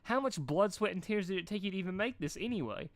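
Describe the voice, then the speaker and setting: A man voicing an excitable female cartoon pegasus who is obsessed with food and speaks in a gushing, dramatic way. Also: high-pitched, quick pace, no echo, voice pushed up. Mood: gushing, overjoyed. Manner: gushing, dramatic, hissing when possessive.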